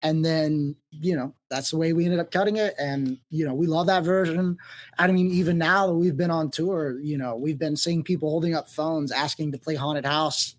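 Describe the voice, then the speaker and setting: A man's voice: on the high side, fairly even intonation, moderate pace. A man speaking as a touring band musician in a casual interview, explaining things in an earnest way.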